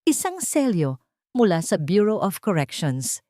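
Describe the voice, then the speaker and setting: Isang babaeng may puntong Pilipino na nagsasalaysay ng madramang kuwentong nakasulat. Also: female voice, mid-range voice, sing-song, unhurried, warm tone, no echo, studio quality. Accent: Filipino. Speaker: narrator. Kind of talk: scripted dramatic story narration.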